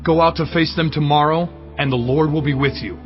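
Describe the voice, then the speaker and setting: An actor playing a Levite prophet who loudly proclaims a message of courage to the king and people. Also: on the high side, steady tone, a little fast, close to an everyday voice. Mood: proclaiming. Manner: loud proclaiming delivery. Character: Levite prophet, man, bold and urgent. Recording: distant, muffled voice over clear music.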